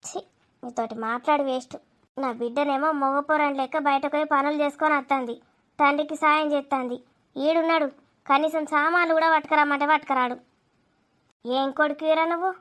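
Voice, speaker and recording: Woman as a very high-pitched, nagging Telangana mother. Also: steady tone, a little fast, fairly bright tone. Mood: sarcastic. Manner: nagging, sarcastic. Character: scolding, sarcastic village mother. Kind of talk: cartoon village comedy acting.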